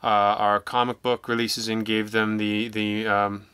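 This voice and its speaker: A man speaking as a guest in a casual interview, wry and self-deprecating, telling things in an animated way. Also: lowish voice, measured pace, clean tone, no echo. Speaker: interview guest, audio drama writer and producer.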